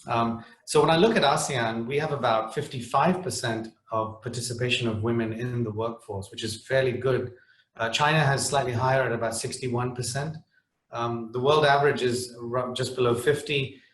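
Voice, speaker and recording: A man's voice: medium pitch, fairly even intonation, normal speed, roomy sound. A middle-aged man from the Netherlands speaking on a webinar panel, hesitant, with many restarts and fillers.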